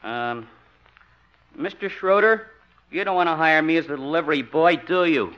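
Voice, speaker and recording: An actor playing a meek, dim but eager young fellow in a 1950s radio sitcom, hesitant and naive. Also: male voice, a little high, lively intonation, lightly acted. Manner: hesitant, naive, slow-witted. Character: meek, dim, eager young male cousin seeking work. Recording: muffled, very noisy old radio recording.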